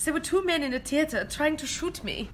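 A woman acting a high-pitched, intense foreign spy. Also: lively intonation, moderate pace. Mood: grave, insistent. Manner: grave, melodramatic, imperious. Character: mysterious, intense foreign female secret agent with German exclamations. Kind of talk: amateur radio play acting. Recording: homemade recording with noticeable noise.

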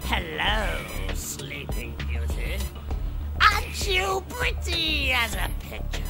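An actor playing a gleeful, theatrical male gang boss in a comic radio play, with a grandiose, gloating, drawn-out delivery. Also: very high-pitched, huge pitch swings, gravelly, exaggerated voice. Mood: gleeful menace. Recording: loud music under the voice.

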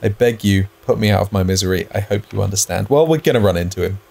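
A man's voice: on the low side, fast talker, creaky, darkish tone. A man co-hosting a gaming video, chatting casually with dry joking asides and reading game text aloud.

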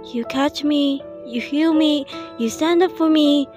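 A woman's voice: high-pitched, unhurried, music in the background. A woman voicing a stubborn, emotional young turtle, a fiery little brother, impassioned and defiant in a fan comic dub. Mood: tearful insistence.

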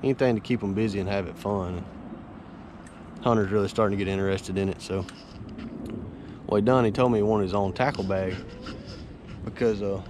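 Charter captain, 30s, deep-ish easygoing voice, outdoor action-cam sound with light background noise. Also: male voice, fairly even intonation, moderate pace, pauses often. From Florida Panhandle, US. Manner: easygoing, rambling, coaching kids between asides. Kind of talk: casual outdoor vlog talk. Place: outdoors by a neighborhood pond. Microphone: wearable action camera.

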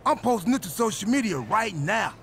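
An actor playing a goofy, excitable male wrestler in a video game cutscene, talking in an animated, playful, jokey way. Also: high-pitched, brisk, vocal fry, slight background noise, full character voice.

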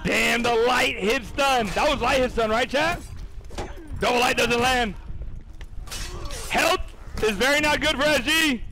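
Hoarse, high-pitched excitable man. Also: measured pace, long pauses. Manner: excitable, profane, chatting with viewers. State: losing his voice. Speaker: streamer casting a tournament. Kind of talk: live game commentary.

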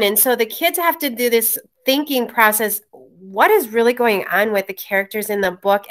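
A woman's voice: on the high side, fairly bright tone. A woman school media teacher presenting informally to colleagues on a video call from a small room, through a laptop mic. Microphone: webcam or laptop mic.

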